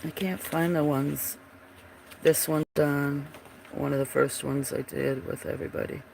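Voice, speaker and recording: A man's voice: on the high side, steady tone, a little slow, a little mellow, a little hiss or hum, low-quality recording. A man hosting a livestream, chatting casually about his drawings in a froggy voice, meandering and trailing off as he searches for pieces. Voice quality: froggy, gravelly voice. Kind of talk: casual rambling commentary.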